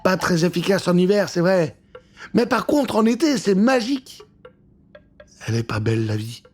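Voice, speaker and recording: A man's voice: high voice, sing-song, normal speed, darkish tone, faint music behind. Man playing a theatrical, jolly eccentric old professor. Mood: cheerful, pleased. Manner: theatrical, riddling delivery.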